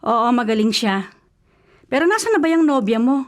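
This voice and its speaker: Protective, gently nagging Filipino mother. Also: on the high side, sing-song, normal speed. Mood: concerned, chiding. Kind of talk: Tagalog radio drama acting.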